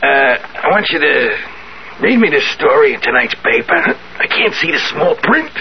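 An actor playing a tired old wheeler-dealer in a 1970s radio drama, halting yet insistent as he bargains. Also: a little high, very animated. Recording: muffled, hissy 1970s radio recording.

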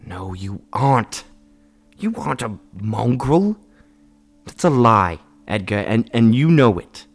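A man's voice: mid-range voice, lively intonation, takes it slowly. A man playing a devoted teenage younger brother in a melodramatic audio drama, earnest, emphatic and pleading. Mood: firm, protective. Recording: voice under loud music. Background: music.

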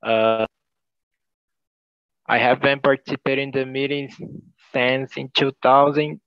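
A man of twenty-seven from Brazil with a Brazilian accent, introducing himself in a steady, slightly halting way. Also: medium pitch, smooth, dry recording, muffled recording. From São Paulo, Brazil. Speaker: participant.

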